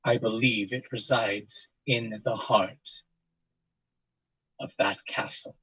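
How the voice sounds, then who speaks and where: Low husky man acted, grave investigator, formal deliberate delivery, audio drama narration, dry sound. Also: fairly even intonation, pushed and bright, dry recording, full character voice, low-quality recording. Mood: solemn. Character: grave, measured male investigator recording testimony of tragedy. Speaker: interviewer and narrator. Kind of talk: solemn dramatic monologue and questioning.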